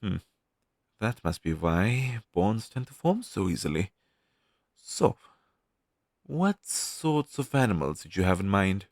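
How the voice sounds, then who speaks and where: Male actor for a demon crow familiar, fantasy roleplay audio: helpful explainer, animated intonation, dry close sound. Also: low-pitched, slow, long pauses, dry recording, exaggerated voice. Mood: curious, friendly. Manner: conversational, explanatory, reassuring, with thoughtful hums. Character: friendly male demon crow familiar advising a young witch.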